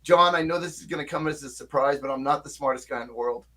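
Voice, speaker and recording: A man's voice: mid-range voice, quick pace, bright, forceful tone. An older man who runs a marketing agency, talking casually and emphatically in an interview, often checking in with "right?". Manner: talkative, emphatic, frequent "right?" check-ins.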